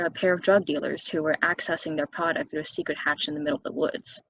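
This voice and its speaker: A woman's voice: sits low, vocal fry, breathy edge, dry recording, full character voice, rough recording. An actress playing a level-headed, skeptical woman with a dry, conversational delivery, in a table reading of a stage play.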